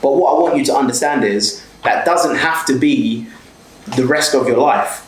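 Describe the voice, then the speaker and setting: A man's voice: medium pitch, normal speed, roomy sound, a little hiss or hum, low-quality recording. A man in his twenties with a South London accent, giving a blunt, sweary motivational talk straight to camera. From South London, England. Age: 20s. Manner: blunt, heavily sweary, repeats "okay?". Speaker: small business owner and mentor.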